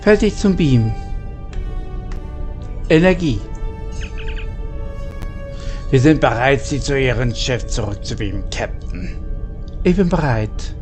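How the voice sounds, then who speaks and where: Mellow man reading game dialogue. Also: medium pitch, animated, a little slow, long pauses, soft background music. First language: German.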